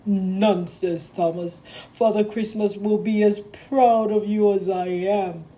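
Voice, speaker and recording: A woman's voice: medium pitch, steady tone, slow, warm tone, close to an everyday voice. A woman voicing a pompous, firm male railway boss with an air of authority in a children's storybook. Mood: firm, reassuring.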